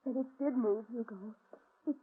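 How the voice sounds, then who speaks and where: High-voiced nervous assistant, vintage radio. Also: female voice, fairly even intonation, moderate pace, breathy edge. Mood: alarmed. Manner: jittery, wry, theatrical. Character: nervous, wisecracking young female assistant to criminologist. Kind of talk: old-time radio drama acting. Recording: muffled, slightly noisy vintage radio broadcast.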